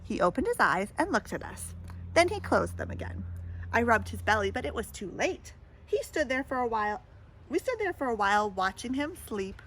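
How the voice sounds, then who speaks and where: Animated woman reading a children's storybook. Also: fairly high pitch, moderate pace, slight background noise, rough recording. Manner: animated, addresses young listeners with questions and comments. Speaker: storybook reader. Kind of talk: read-aloud children's picture book with asides to listeners.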